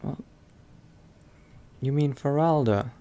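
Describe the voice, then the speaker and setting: A male gamer improvises casual roleplay commentary over a video game. He talks slowly at an average pitch, in a laid-back and conversational way, often hesitant as he goes. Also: warm tone, a little hiss or hum. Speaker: gamer roleplaying as his character.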